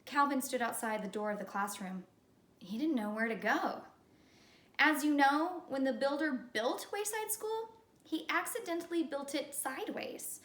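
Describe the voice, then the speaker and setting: High-voiced woman reading a children's story, echoey. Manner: expressive storytelling with character dialogue. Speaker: elementary school teacher. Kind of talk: read-aloud children's story narration. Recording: echoey room.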